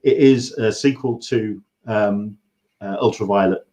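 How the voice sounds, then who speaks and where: Rambling, halting English man hosting. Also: lowish voice, mellow. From England. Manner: rambling, halting, self-interrupting. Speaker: interview show host. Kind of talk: casual livestream interview chat.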